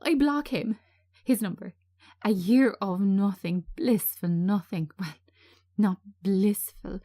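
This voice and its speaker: A woman reading a script as a traumatised, anxious woman on edge, agitated and halting as she repeats herself. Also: medium pitch, warm tone, no room sound, clean studio sound. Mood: agitated, despairing.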